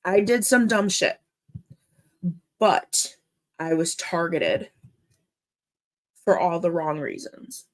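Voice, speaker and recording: A woman from Minnesota hosting her own show, speaking with deep emotion in halting, earnest commentary. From Minnesota, USA. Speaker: host. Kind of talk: emotional personal commentary vlog.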